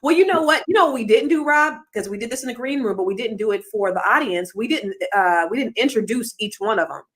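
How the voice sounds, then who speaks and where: A woman's voice: a little high, lively intonation, fast, fairly bright tone. A woman co-hosting an interview, teasing and enthusiastic. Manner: teasing, enthusiastic, chimes in often. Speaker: co-host. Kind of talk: lively interview chat.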